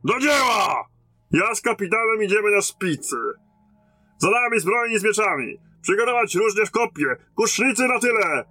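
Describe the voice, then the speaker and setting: An actor playing a battle-hardened, blunt and commanding lieutenant in a fantasy audio drama. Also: male voice, sits high, lively intonation, moderate pace, sharp tone, dry recording, soft background music, exaggerated voice. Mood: commanding, rousing.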